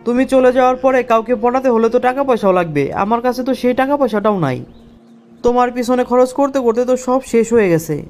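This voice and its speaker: An unusually high-pitched, jilted cartoon boyfriend acted by a man with a Bangladeshi accent. He rattles along quickly, plaintive and pleading, with petty grievances. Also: a little mellow, slight background noise. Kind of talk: cartoon comedy dialogue.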